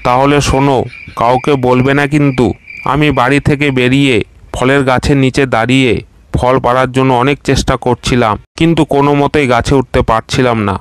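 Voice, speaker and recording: A lazy, plump crocodile husband from a cartoon, played by a man, with a mid-range voice that sounds meek. His delivery is flat and steady and rarely lifts. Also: medium pitch, brisk, clear, resonant tone, close to an everyday voice. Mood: easygoing. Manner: easygoing and meek. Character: lazy, plump, soft-hearted male crocodile. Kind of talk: cartoon voice acting.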